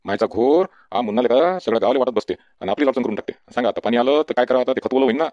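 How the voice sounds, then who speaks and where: Cartoon casting: fretful village farmer dad played by a man, rapid nagging Varhadi Marathi, slightly dull lo-fi sound. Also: medium pitch, expressive, no echo, close to an everyday voice. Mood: exasperated. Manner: nagging, folksy. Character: fretful village farmer father, bossy, exasperated by his son. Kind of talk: comedy cartoon dialogue.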